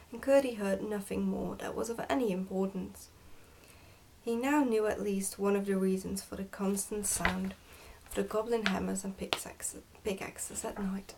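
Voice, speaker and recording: A woman reading a children's story aloud in ASMR style, soft-spoken and sometimes near a whisper. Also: mid-range voice, gravelly, distant mic, slight background noise, poor audio. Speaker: ASMR book reader.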